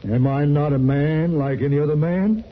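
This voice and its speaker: A man's voice: a little high, moderate pace, lightly acted. A male actor plays a lonely old professor of the Old West, wounded and grandly formal. He orates plaintively through a very thin, phone-like radio recording with faint noise behind him. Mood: wounded pleading. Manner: theatrical, formal, plaintive oratory. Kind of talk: old-time radio western acting.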